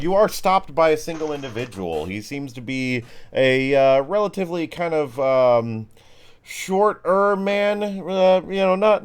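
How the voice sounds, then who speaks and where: A man's voice: on the high side, smooth. A man narrating a tabletop game as game master in a casual, rambling, improvised way.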